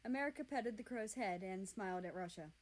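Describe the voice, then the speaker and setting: A woman's voice: mid-range voice, animated, fairly quick. A woman reading a scripted story aloud as its narrator. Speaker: reader of fan fiction aloud.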